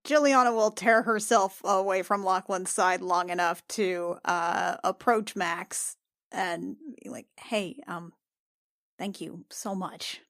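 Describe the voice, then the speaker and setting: A woman playing a tabletop role-playing game, chatting casually and describing her character with halting, emotive reactions. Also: lowish voice, fairly bright tone, no room sound, studio quality.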